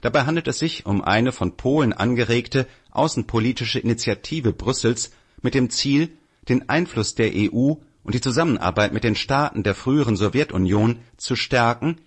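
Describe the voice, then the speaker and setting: A man's voice: mid-range voice, moderate pace, husky. A man reading a political article aloud as scripted narration. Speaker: reader of an audio article.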